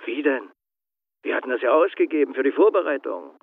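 A former escape helper over a thin telephone line in a radio play. His mid-pitched voice swings up and down in a lively way. He is guarded and curt, reluctant and quick to defend himself. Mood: defensive. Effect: telephone filter. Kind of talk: radio drama acting. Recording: thin, tinny phone line.

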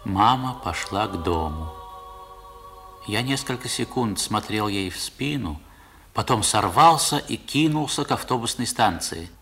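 A man's voice: lowish voice, animated, a little slow, vocal fry, over music. A man playing a reflective narrator recalling his boyhood in a 1960s radio play. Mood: measured. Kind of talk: dramatic first-person storytelling in 1960s radio play.

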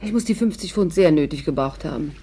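A woman's voice: deep voice, animated, fast, warm tone, husky, heavily acted. An actress playing a wry woman recounting her own misadventures as narrator of a 1950s German radio drama. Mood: rueful, confiding.

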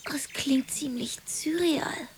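A woman's voice: sits high, takes it slowly, in a whisper. An actress playing a cool, matter-of-fact woman in a radio drama. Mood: puzzled, hushed.

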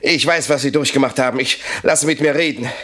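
An actor playing a suave lover at his rival's mercy in a German radio thriller, husky, urbane and sardonic. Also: mid-range voice, fairly quick, bright, forceful tone, close to an everyday voice. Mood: indignant, alarmed.

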